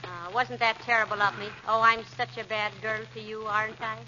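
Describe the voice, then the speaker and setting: An actress playing a soothing, gently teasing wife in an old radio comedy. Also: female voice, a little high, brisk. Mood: coaxing. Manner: coaxing, calming. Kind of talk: 1940s radio comedy acting. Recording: muffled, very noisy old radio recording.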